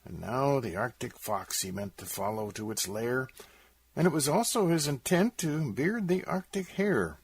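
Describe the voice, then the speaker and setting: Expressive male storyteller with a husky edge. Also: mid-range voice, moderate pace, no room sound. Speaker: radio host and poem reciter. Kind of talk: introducing and reciting a comic narrative poem.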